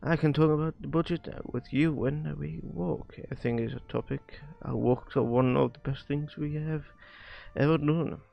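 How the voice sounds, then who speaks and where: A man streaming a visual novel, reading its dialogue aloud haltingly and often stumbling and rereading lines. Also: a little high, fairly even intonation, creaky, dull audio. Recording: music behind the voice. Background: music.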